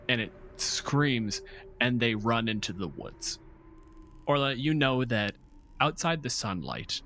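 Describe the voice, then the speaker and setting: A man's voice: mid-range voice, a bit bright, slight background noise. A man running a tabletop game as game master, narrating in a conversational, joking way.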